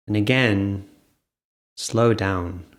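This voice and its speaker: A man leading an off-the-cuff guided meditation, speaking in short phrases with gentle pauses between them. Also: lowish voice.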